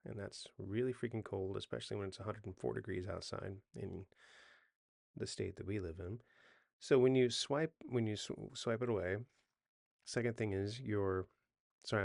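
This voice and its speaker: A man's voice: on the low side, vocal fry, darkish tone, dry recording, clean studio sound. A man in his forties giving sleep advice off the cuff, talking conversationally, correcting himself and often checking in with the listener. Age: 40s. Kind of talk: unscripted how-to talk to camera.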